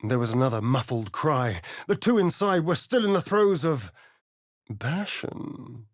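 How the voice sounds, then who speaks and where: A vain, sly vampire spawn for a video game. His delivery is slow and swoops widely in pitch, every phrase arch and sardonic. The sound is close and dry, giving his theatrical drawl full play. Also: sing-song, no echo. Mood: hushed, dramatic. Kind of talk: video game character voice lines.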